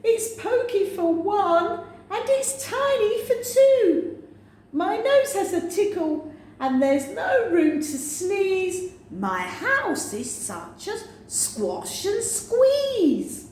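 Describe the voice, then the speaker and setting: A woman's voice: extremely high pitch, sing-song, drawn-out words, darkish tone, voice pushed up, exaggerated voice. A woman voicing a flustered, grumbling little old woman from a storybook, wailing and pleading. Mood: distressed wailing. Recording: very echoey room, faint noise.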